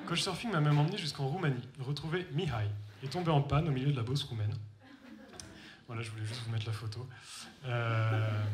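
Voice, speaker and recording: A young man from France telling a comic personal story on stage, wry and self-mocking, talking straight to the audience. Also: medium pitch. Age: young adult. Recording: echoey hall with faint noise.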